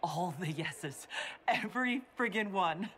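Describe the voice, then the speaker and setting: A woman playing a towering horned warrior leader in a video game, speaking in a measured, tender, gently amused way. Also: fairly low pitch, animated. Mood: fond, amused. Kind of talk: video game cutscene dialogue.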